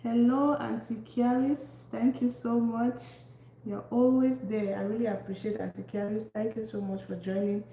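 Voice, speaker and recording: Woman, cooking stream host, mellow gravelly voice, greets every viewer by name. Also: a little high, flat delivery, normal speed. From Cameroon. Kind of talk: casual live-stream chat while cooking. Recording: echoey room, faint background noise.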